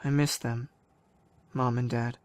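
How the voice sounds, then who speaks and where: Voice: mid-range voice, little pitch movement, unhurried, smooth, no room sound, lightly acted. A performer acting a teasing, protective young brother in an audio drama, talking casually. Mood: wistful.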